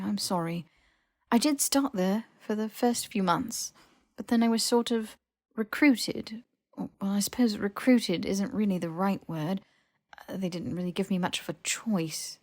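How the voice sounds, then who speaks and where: A woman voicing an anxious, earnest young witch in an audiobook story, halting and fervent as she confesses. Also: medium pitch, no echo, studio quality, close to an everyday voice. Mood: nervous, apologetic. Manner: breathless, halting, fervent, slightly manic.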